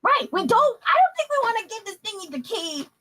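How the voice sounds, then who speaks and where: A timid, chatty young girl speaks in a very high, sing-song voice, as a woman puts her on. Her pitch leaps up and down with every phrase. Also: very animated, brisk, pushed and bright, exaggerated voice. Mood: worried. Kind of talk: in-character play over voice chat.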